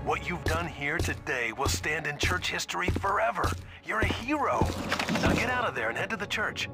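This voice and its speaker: An actor playing an assured religious conspirator guiding a saboteur, secretive and commanding, in a video game. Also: male voice, fairly high pitch, animated, moderate pace, over loud music, close to an everyday voice. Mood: proud, approving. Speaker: church operative giving orders. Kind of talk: dramatic video game acting. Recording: loud game soundtrack over the voice.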